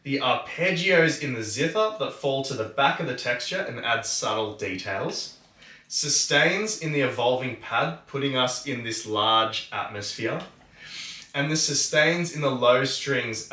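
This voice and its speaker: A man presenting a music tutorial, explaining methodically in a measured, instructional way. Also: mid-range voice, sharp tone. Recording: roomy, distant microphone.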